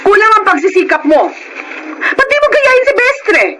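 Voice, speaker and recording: A woman's voice: extremely high pitch, sing-song, moderate pace. A woman playing a nagging, demanding wife and mother in an emotional Filipino radio drama. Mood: reproachful. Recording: noisy radio drama recording.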